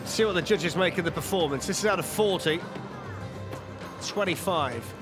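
A high-pitched man calls a soapbox race live at an outdoor track, reacting quickly and cracking jokes. Music plays clearly behind him. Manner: excitable, quick reactions, jokey. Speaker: race commentator. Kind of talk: live sports commentary.

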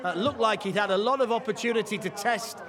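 Excitable high-voiced race commentator. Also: male voice, crowd noise. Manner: excitable, quick to exclaim at runs. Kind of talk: live event commentary.

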